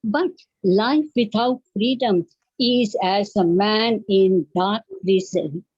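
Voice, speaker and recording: A woman's voice: medium pitch, slow pace, a little mellow, slightly rough, dry recording. A woman from India with an Indian accent, reciting poetry as a participant in an earnest, declamatory way. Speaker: poet, event participant. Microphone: online group call. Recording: dull, dry online call.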